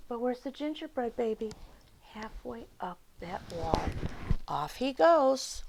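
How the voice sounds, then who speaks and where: A woman reading a story aloud to children, expressive, with chatty asides and questions to her young listeners. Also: mid-range voice. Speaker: children's story time reader.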